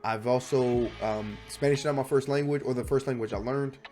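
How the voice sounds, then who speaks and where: A young man with a cold talks in a stuffy, nasal voice at an ordinary middle pitch, rambling and restarting his sentences. His delivery is loose and chatty. Faint music plays behind him. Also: fairly even intonation, brisk, mellow. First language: English. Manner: chatty, self-correcting, restless. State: sick, stuffy voice. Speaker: language learning vlogger. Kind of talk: casual rambling monologue.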